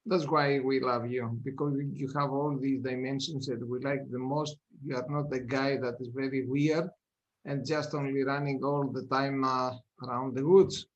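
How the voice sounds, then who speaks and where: A man hosting a podcast interview, asking questions eagerly and warmly, with somewhat nonstandard English phrasing. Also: mid-range voice, steady tone, normal speed, lo-fi sound. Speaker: podcast host. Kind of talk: casual interview conversation.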